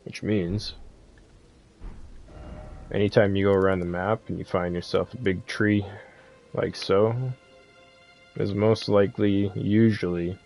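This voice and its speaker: A man's voice: low-pitched, little pitch movement, measured pace, creaky, pauses often, darkish tone, faint music behind. A man giving a casual, laid-back, rambling walkthrough of a video game as a streamer.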